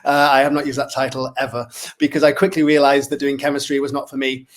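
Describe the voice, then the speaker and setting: A man's voice: mid-range voice, flat delivery, quick pace. A man in his forties from Manchester with a Mancunian English accent, a friendly guest who talks about his career in a self-deprecating way over a video call. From Manchester, England. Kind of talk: casual interview chat. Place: indoor room at home. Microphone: webcam on a video call.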